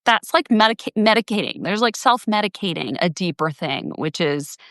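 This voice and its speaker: A woman's voice: mid-range voice, animated, moderate pace, a bit bright, no echo, clean studio sound. A woman in her forties, hosting a casual live video conversation, reflective and thinking aloud with many restarts. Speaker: host. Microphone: video call.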